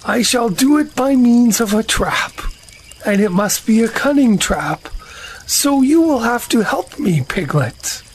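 A woman playing a slow, dreamy, honey-loving bear in an audio drama, musing and ponderous. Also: a little high, expressive, darkish tone, slight background noise. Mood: pondering.

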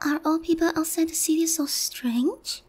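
Woman voicing a very high-pitched, timid harpy girl. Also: fairly even intonation, moderate pace, darkish tone. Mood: innocently puzzled. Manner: timid, hesitant, polite, airheaded. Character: naive, sheltered, polite young female harpy. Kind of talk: ASMR roleplay, addressed to one listener.

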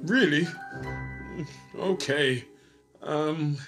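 A woman's voice: deep voice, very slow, soft background music. A woman playing a ceremonial but reluctant royal aide in a comic pantomime.

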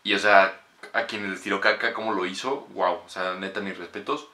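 Young man, Mexican accent, bright forceful voice, casual review chat, slangy swearing, roomy sound. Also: low voice, fairly even intonation, normal speed. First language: Spanish. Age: 20s. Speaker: music reaction host. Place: small bedroom, indoors. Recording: roomy bedroom echo, faint music.

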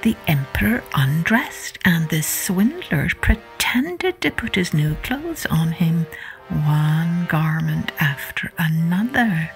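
A woman narrating a children's storybook as a podcast host, in an expressive, deliberate manner. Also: fairly low pitch, soft background music, rough recording.

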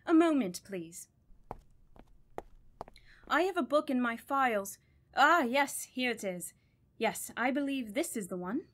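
A woman playing a grave, scholarly doctor of the supernatural in an audio drama, with a formal, deliberate and ominous manner. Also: high voice, animated. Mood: intrigued.

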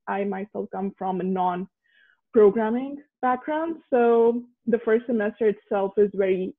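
A young man from India, a university student, answering earnestly on a video call. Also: sits high. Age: young adult. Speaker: student panelist. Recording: tinny phone-like call audio.

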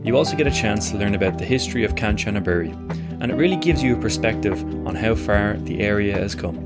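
A man reading a scripted travel voice-over in a smooth, measured way. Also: fairly low pitch, expressive. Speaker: travel vlogger narrator. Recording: loud guitar music under voice.

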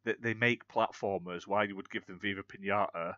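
A man's voice: fairly low pitch, fairly even intonation, a little fast, sharp tone, dry recording, lo-fi sound. A British man from the UK co-hosting a gaming podcast, chatting casually and rambling through news with frequent restarts. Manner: rambling, self-correcting, chatty. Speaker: podcast co-host. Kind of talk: casual chat.

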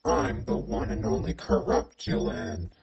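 A man voicing a menacing, cocky corrupted cartoon monster, taunting in a raspy, gravelly, breathy voice. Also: fairly low pitch, a crowd in the background. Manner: slow, drawn-out threats with villainous taunting. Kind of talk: character voice lines. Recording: dull lo-fi home recording.

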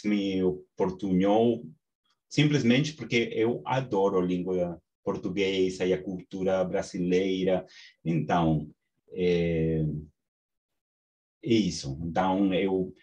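Peruvian guy teaching a class over a webcam, slow halting talk, kinda low voice. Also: darkish tone, dull audio. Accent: Peruvian Spanish. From Peru. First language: Spanish. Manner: slow and halting, many restarts. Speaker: course instructor. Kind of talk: online class. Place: small home study with bookshelves. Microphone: webcam on video call.

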